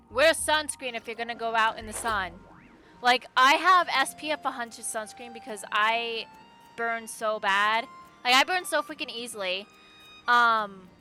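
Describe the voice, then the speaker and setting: A woman's voice: on the high side, sharp tone. A young adult woman, chatting casually and in a rambling, informal way as a game streamer. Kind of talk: casual livestream chat and game commentary.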